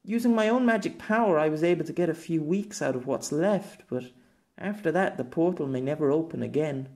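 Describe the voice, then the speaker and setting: A man's voice: on the high side, expressive, a little fast, a little mellow. A man voicing an earnest, apologetic fantasy woman in an audiobook, explaining and pleading as she says farewell. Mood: pleading, wistful.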